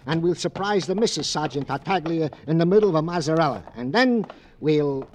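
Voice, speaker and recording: Comic-relief American cop played by a man for classic radio: loyal, fretting, stammering, higher register, very animated. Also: a little fast, a little hiss or hum, natural voice. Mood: fussing. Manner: stammering, folksy, mangles phrases. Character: excitable, fussing, loyal male police sergeant sidekick. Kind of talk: old-time radio drama comic relief.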